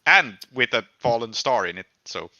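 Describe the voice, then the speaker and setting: A male game master speaks in a very bright, crisp-edged voice at a middling pitch. The sound is close and dry as he casually lays out rulings for the table. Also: expressive, moderate pace, no room sound, highs cut off.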